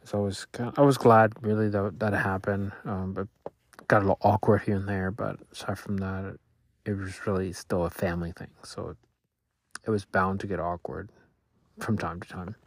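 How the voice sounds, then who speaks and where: Low-voiced man, Spanish native, musing. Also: level tone, no echo. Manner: thoughtful, halting, restarts often. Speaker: podcaster reflecting on his week. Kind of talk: casual reflective monologue. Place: Louisiana.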